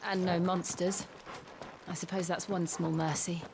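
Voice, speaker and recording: An actress playing a sombre, composed young noblewoman in a fantasy video game, soft-spoken and measured. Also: on the low side, level tone, creaky. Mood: concerned. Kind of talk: dramatic video game dialogue. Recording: background noise with outdoor ambience.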